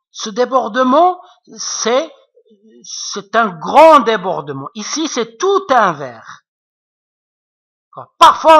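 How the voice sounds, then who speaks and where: A man's voice: high voice, bright, forceful tone. A man with an Arabic accent, a native Arabic speaker, explaining French poetry to students in a patient, repetitive way. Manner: patient, repetitive, checks with frequent asides. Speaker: French literature teacher. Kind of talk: classroom explanation.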